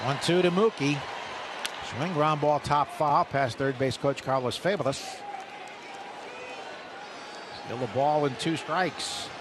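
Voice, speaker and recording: A man calling baseball play-by-play on radio, brisk and rising for big plays. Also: a little high, a crowd in the background, background noise. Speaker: play-by-play announcer.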